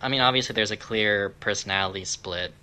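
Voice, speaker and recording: Male co-host, deep pitch, very sharp bright tone, matter-of-fact, casual. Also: fairly quick. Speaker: podcast co-host. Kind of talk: casual chat. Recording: noticeable background noise.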